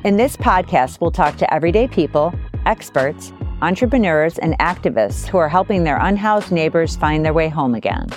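Brief: woman co-host, very low voice, measured scripted delivery, soft music under. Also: expressive. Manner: measured, reading a prepared script. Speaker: podcast co-host. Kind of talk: scripted intro, then interviewing.

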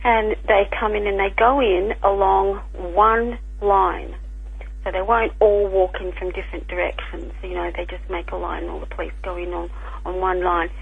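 A woman with a croaky voice, a scientist and author explaining things carefully in a radio interview. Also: medium pitch, fairly even intonation, normal speed, no room sound. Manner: careful, explanatory, with small restarts. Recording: tinny phone line.

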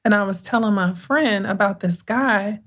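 A woman's voice: mid-range voice, fairly bright tone. Soothing-voiced woman podcast host. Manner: unhurried, conversational, with thoughtful pauses and restarts. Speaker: podcast host and mindset coach. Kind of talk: casual reflective podcast monologue.

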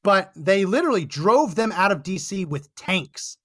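Male podcast host, hoarse and fading voice, high-pitched, emphatic indignant monologue. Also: animated, normal speed, fairly bright tone. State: hoarse, losing his voice. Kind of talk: political commentary monologue. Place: Texas.

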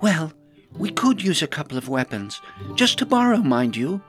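A man's voice: fairly high pitch, big pitch swings, moderate pace, a bit bright, faint music behind, voice pushed up. A man voicing a spirited female hobbit adventurer with a breezy, coaxing manner in a storybook reading. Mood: sheepish, coaxing.

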